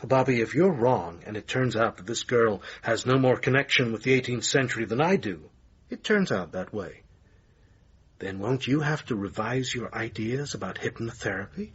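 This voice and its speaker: A man's voice: mid-range voice, lively intonation, moderate pace, husky, muffled recording. A man in a radio drama playing a sardonic, sceptical theatre manager who needles his lover in a dry, argumentative way. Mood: challenging. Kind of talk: naturalistic radio drama acting.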